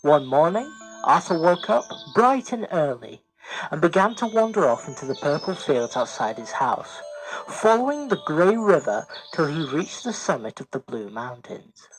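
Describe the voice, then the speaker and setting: A man's voice: on the high side, very animated, moderate pace, poor audio. A man reading a scripted story as a dramatic narrator. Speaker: story reader. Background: music.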